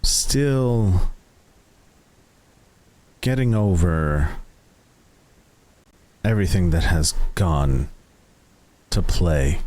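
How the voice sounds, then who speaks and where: A man narrating a tabletop roleplaying game as game master, in a deliberate, suspenseful way with long dramatic pauses. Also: deep voice, expressive, darkish tone.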